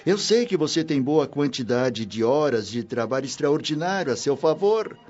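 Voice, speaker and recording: An actor playing a benevolent, fatherly spirit minister in a Brazilian radio drama, husky, reassuring and courteously authoritative, with a Brazilian Portuguese accent. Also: fairly high pitch, expressive, moderate pace, a little mellow. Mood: kindly, reassuring. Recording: muffled radio drama with music bed.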